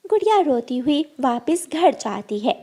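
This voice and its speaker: A woman's voice: high voice, very animated, a little slow, dark tone. A woman storyteller giving scripted narration for a children's cartoon.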